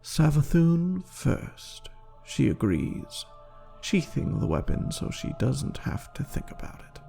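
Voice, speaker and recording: Animated man narrating dramatically over music. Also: lowish voice, gravelly, in a whisper. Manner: measured, theatrical. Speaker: game lore reader and streamer. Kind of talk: dramatic scripted story narration.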